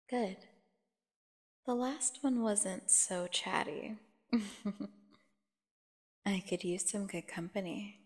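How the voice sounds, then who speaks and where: A woman playing a seductive, dangerous captive vampire in an ASMR fantasy horror roleplay, teasing and theatrical, with a creaky voice, speaking straight to the listener. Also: medium pitch, a little mellow. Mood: playful menace. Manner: teasing, slow, theatrical, addressing the listener directly. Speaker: audio roleplay performer. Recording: long echoing reverb.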